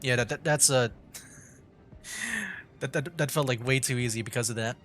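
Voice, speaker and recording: A man's voice: medium pitch, lively intonation, moderate pace, a bit bright, soft background music. A man giving informal, reactive commentary as he streams a video game. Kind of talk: casual livestream game commentary.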